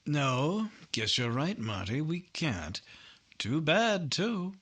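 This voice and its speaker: A calm but insistent district attorney, played by a man in a vintage radio crime drama. He is deliberate and authoritative, with a bright, forceful tone and animated intonation. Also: a little high, slightly rough, exaggerated voice. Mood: dry irony. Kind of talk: 1950s radio drama acting.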